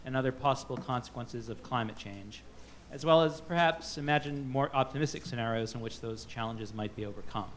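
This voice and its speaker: A man's voice: mid-range voice, slight background noise. A man moderating a book festival panel, reading out author introductions with frequent fillers and restarts. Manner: halting, many fillers and restarts.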